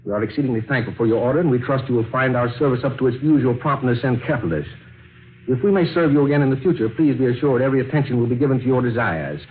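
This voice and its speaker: A man's voice: medium pitch, rapid-fire, close to an everyday voice. An actor playing a courteous, fussy elderly businessman with formal, hesitant, old-fashioned speech in a 1940s radio drama. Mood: brisk, formal. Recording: muffled, noisy old radio recording.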